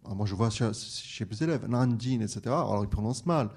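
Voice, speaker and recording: Male teacher, panel guest, lower pitch, hesitant with many restarts. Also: fast talker, vocal fry, darkish tone, slightly rough. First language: bilingual French-Arabic. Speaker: French teacher. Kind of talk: panel discussion.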